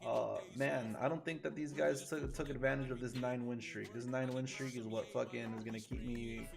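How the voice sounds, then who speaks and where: A man from Chile, a forex trader chatting casually and excitably with his live viewers, swearing freely. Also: mid-range voice, normal speed, vocal fry. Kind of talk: casual livestream commentary. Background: faint music.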